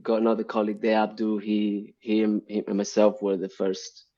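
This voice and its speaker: A man's voice: on the low side, monotone, normal speed, warm tone. A man from Brazil, a guest on a casual live-stream interview, explaining at length with many ums and restarts. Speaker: guest, UI developer. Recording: dull lo-fi stream audio.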